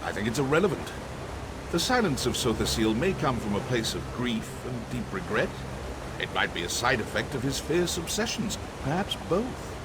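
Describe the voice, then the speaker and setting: A man's voice: medium pitch, sing-song, normal speed, very noisy, lightly acted. A man playing an arrogant, cryptic elven sorcerer scholar in a video game, theatrical, condescending and dryly sardonic. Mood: sardonic, musing. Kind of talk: scripted video game dialogue. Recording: music under the voice.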